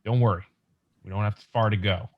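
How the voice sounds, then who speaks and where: A man's voice: lowish voice, fairly even intonation, fairly quick, dull audio, close to an everyday voice. A man acting a young, practical, reassuring scavenger survivor in an urgent, conversational comic book table read over a video call.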